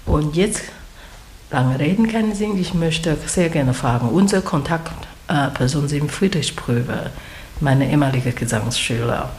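A woman whose first language is not German interviews a guest in halting German, restarting her words often. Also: deep voice, lively intonation, darkish tone. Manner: halting non-native German with many restarts. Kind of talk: casual interview. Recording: echoey room with faint noise.

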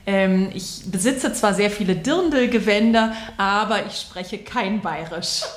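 A middle-aged woman pastor from Bavaria answers interview questions in fluent standard German, explaining at length. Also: mid-range voice. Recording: echoey room.

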